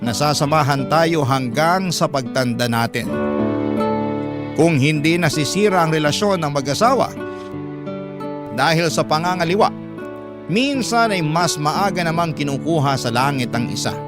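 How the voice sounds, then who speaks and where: A man playing a reflective married Filipino man who narrates his own life in a wistful, measured radio drama style. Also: a little high, animated, natural voice. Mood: reflective, hopeful. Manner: sentimental, measured. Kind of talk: scripted first-person radio drama narration. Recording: guitar music behind the voice.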